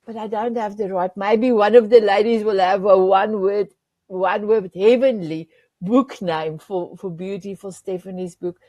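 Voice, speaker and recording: A woman's voice: mid-range voice, steady tone, measured pace, warm tone, no echo. A woman whose first language is Afrikaans, hosting a talk show in an effusive way, often searching for words. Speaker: show host.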